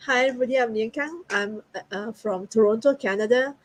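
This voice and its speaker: Brief: woman, bright higher pitch, friendly and earnest guest. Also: fairly quick, rough recording. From Singapore. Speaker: guest business partner. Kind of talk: casual online talk.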